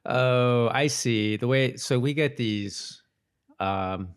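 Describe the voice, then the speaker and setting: A man co-hosting a podcast in casual, informal chat with a halting delivery. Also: mid-range voice, clean tone. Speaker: podcast co-host.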